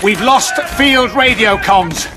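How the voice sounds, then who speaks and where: An actor playing a brusque military commander who gives clipped orders in an audio drama. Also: male voice, high voice, slow pace. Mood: commanding. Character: hard-pressed military commander, brusque and stern. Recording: battle and vehicle noise behind.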